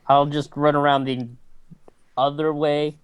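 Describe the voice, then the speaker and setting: A man's voice: a little high, level tone, a little slow, smooth, no room sound, lo-fi sound. A man playing a tabletop role-playing game, talking casually. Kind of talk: casual chat.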